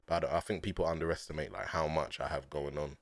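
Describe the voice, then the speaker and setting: A man, chatting casually on a stream from a small dim room through a headset, telling stories in relaxed slang and laughing often. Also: rumbling low, fast, creaky, no echo, clean studio sound. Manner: laid-back slangy storytelling, laughs often.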